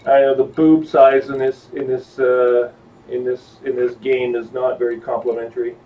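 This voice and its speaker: A man streaming a video game, chatting casually with fellow players in a halting way, repeating words as he goes. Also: mid-range voice, level tone, recorded from a distance. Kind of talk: casual gamer voice-chat banter. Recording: dull, noisy headset audio, off mic.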